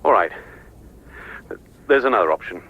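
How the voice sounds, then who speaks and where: An actor playing an insistent, suspicious scientist in a radio play, clipped and conspiratorial over a radio link. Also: male voice, on the low side, animated, moderate pace, lo-fi sound, slight background noise. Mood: pressing, suspicious.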